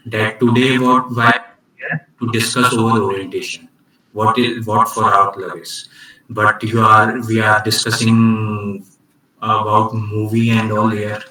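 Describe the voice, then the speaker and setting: Slow, halting man on a video call. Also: on the low side, sharp tone, reverberant, recorded from a distance, a little hiss or hum, poor audio. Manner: slow, halting, many restarts and fillers. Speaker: club orientation presenter. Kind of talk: online talk on communication skills.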